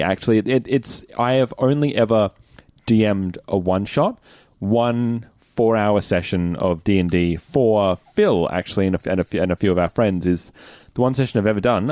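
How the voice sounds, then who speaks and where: A man's voice: lowish voice, animated, moderate pace, darkish tone, dry recording, studio quality. An Australian man hosting a casual podcast chat, talking in an informal, stop-start way. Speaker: podcast host and actor.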